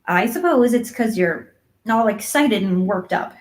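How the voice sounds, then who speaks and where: Woman reader as stern female guardian, unsentimental, brisk, matter-of-fact, disapproving, moderately high pitch, storybook dialogue. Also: lively intonation, close to an everyday voice. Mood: disapproving, practical. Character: stern, practical, unsentimental woman raising an orphan. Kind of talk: acted audiobook dialogue.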